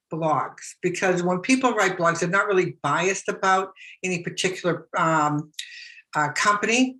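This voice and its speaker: A woman's voice: lowish voice, fairly bright tone, low-quality recording. A middle-aged woman talking through a screen-share tutorial in a chatty, repetitive way, full of fillers and restarts, while she is losing her voice. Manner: chatty, repeats herself, many fillers and restarts. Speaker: business blogger teaching online marketing.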